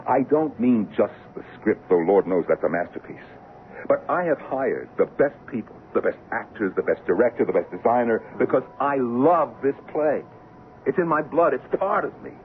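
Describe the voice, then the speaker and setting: An actor playing an exasperated, proud Broadway producer in a radio drama, emphatic, effusive and given to repeating himself. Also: male voice, fairly low pitch, natural voice. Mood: fervent. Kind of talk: old-time radio drama acting. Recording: muffled, hissy old radio recording.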